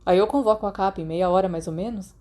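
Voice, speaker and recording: A woman's voice: mid-range voice, fast talker, mellow, lightly acted. A Brazilian woman voicing a caring, practical teenage schoolboy in an audiobook, speaking in a casual, matter-of-fact way. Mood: practical, calm. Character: caring, practical teenage schoolboy looking after drunk friend. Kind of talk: storybook character dialogue.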